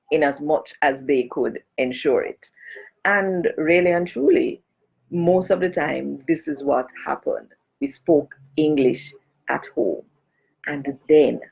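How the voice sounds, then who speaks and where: A woman's voice: low-pitched, creaky, a little mellow, slightly rough, dull audio. A woman from Jamaica chatting casually on a live stream as a cultural advocate, greeting and speaking straight to her viewers. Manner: chatty, greets and addresses viewers directly, gives blessings.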